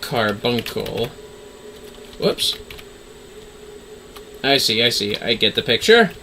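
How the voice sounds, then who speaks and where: A man's voice: mid-range voice, expressive, takes it slowly, pushed and bright, slight background noise. A man doing casual livestream game commentary, talking to himself as he plays and reading game text aloud. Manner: talks to self while playing. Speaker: livestream gamer, VTuber.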